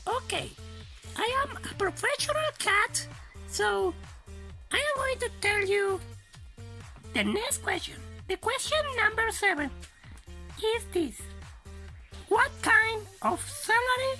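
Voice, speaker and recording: A woman voicing a cat who slowly announces the interview questions. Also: very high-pitched, expressive, pushed and bright, faint music behind, heavily acted. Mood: announcing.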